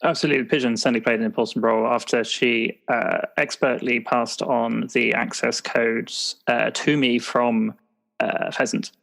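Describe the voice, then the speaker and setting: A man's voice: medium pitch, fairly even intonation, normal speed, gravelly, fairly bright tone. A man playing a dutiful field agent, giving a hesitant, formal report in an improvised audio roleplay. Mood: earnest, careful.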